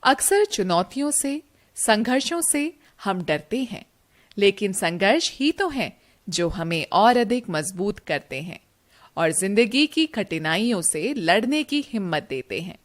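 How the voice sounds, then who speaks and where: A woman presenter delivering a motivational moral message to listeners. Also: medium pitch.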